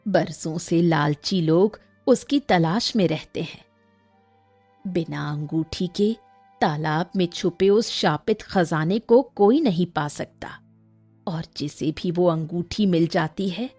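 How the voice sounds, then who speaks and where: A woman's voice: on the low side, a little mellow, breathy edge, dry recording, heavily acted. A woman voicing a holy man's elderly wife in a cartoon story, chiding and matter-of-fact.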